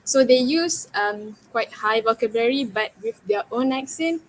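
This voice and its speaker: A woman's voice: a little high, moderate pace, slight background noise, low-quality recording. A woman on an online panel who speaks in a reflective, careful way with fillers, over a video call. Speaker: student panelist.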